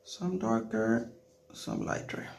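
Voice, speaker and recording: A woman with a non-native English accent giving a relaxed watercolour lesson in short, gently encouraging phrases while she works. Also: slow pace. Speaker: watercolour tutorial instructor. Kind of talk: relaxed painting tutorial, talking while working.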